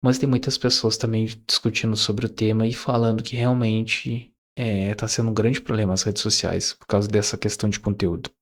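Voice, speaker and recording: A man's voice: on the low side, little pitch movement, moderate pace, hardly any pauses. A man, talking casually to his viewers in a reflective monologue, rambling with fillers and self-corrections. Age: 20s. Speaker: YouTuber.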